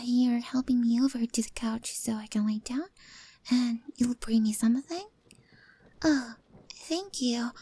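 Woman doing a timid stray cat girl, high voice, slow hesitant whispers, soft and mellow. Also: breathy edge, full character voice. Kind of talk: ASMR roleplay.

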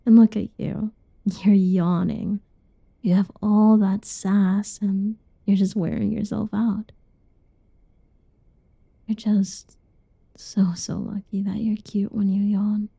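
Whispering woman, slow and husky. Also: medium pitch, little pitch movement, dry recording. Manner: soft whispering, teasing and cuddly; playful giggles between lines. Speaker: roleplay narrator. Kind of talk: ASMR roleplay.

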